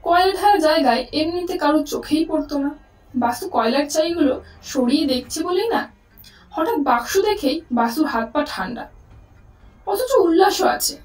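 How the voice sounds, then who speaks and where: A woman's voice: high-pitched, roomy sound, recorded from a distance, low-quality recording. A woman narrating a scripted story expressively, as a storyteller.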